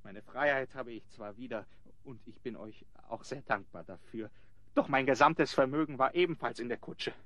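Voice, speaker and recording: A man's voice: mid-range voice, normal speed, fairly bright tone, no room sound, highs cut off, close to an everyday voice. Man playing a whiny, greedy nobleman. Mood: wailing. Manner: plaintive, theatrical lamenting. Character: whining, greedy male nobleman secretly hiding his identity. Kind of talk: German audio drama acting.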